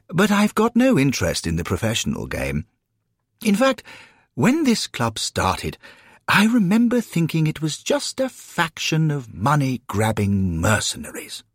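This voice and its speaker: Man playing a bewildered, high-voiced Victorian gentleman. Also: husky, no echo, studio quality, full character voice. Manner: hesitant, courteous, apologetic. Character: polite, bewildered Victorian gentleman, newly dead.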